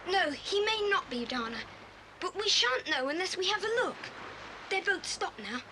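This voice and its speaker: An old, noisy story tape carries a very high, youthful voice. It is a decisive boy adventurer in a 1980s radio-style drama, giving brisk, earnest orders to the other children. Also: sing-song, full character voice. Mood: resolute. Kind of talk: 1980s audio drama acting. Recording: noisy old cassette tape, engine and ambience.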